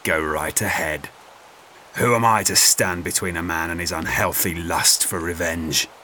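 A man's voice: sits low, steady tone, a little slow, bright, forceful tone, a little hiss or hum. A man voicing a scheming, scornful sheriff with mocking, theatrical delivery in an audiobook. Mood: sardonic. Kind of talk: audiobook character voice.

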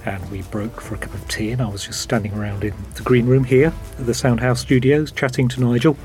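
A man speaks as a producer recalling how a production came about. His pitch is average for a man, and music plays faintly behind him. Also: fairly even intonation, a little fast, darkish tone. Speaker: audio drama producer. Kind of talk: behind-the-scenes interview account.

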